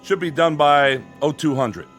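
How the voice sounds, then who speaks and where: A man's voice: on the high side, a little slow, a bit bright, soft background music. An actor playing a businesslike army officer briefing his men in a clipped, authoritative radio drama style. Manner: authoritative, clipped, matter-of-fact.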